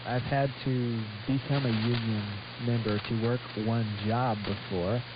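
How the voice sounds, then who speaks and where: Male co-host, mid pitch, blunt grumbling banter, very heavy radio hiss. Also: steady tone, unhurried. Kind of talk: casual talk show banter. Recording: very hissy muffled shortwave radio broadcast.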